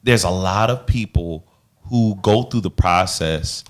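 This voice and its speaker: A man with a low voice, chatting loosely on a relationship podcast. He is animated and playful and keeps breaking into little mock quotes. The talk rambles along with a minister's ease. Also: measured pace. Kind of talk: casual relationship chat.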